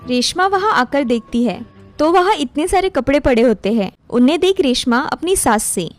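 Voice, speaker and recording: High-pitched woman narrating a story. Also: expressive, normal speed, faint music behind. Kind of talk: scripted moral story narration.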